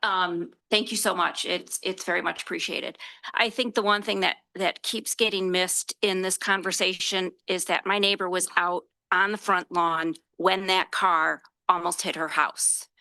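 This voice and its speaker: A woman with a loud voice, a resident speaking earnestly and with concern during public comment at a council meeting. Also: fairly low pitch, fairly even intonation, a little slow, rough recording. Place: council chamber.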